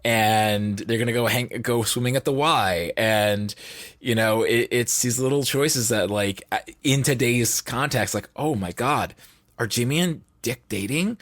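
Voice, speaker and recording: A man's voice: medium pitch, measured pace, fairly bright tone. A man chatting casually as a podcast guest, rambling and saying "like" a lot. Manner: rambling, peppers speech with "like". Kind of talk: casual chat.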